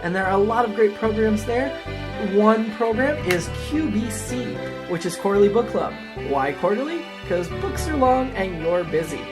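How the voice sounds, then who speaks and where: A woman's voice: medium pitch, animated, normal speed. A woman podcast announcer delivering a scripted promotional introduction. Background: music.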